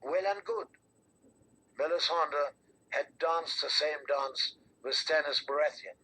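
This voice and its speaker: A man with a raspy voice reading a scripted fantasy novel as an audiobook narrator. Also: on the high side, steady tone, takes it slowly, bright, forceful tone, low-quality recording.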